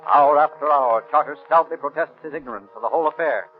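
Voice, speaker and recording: A man's voice: fairly high pitch, fast talker. A man narrating a 1930s radio crime drama in a formal, clipped style. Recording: tinny vintage 1930s radio recording, music.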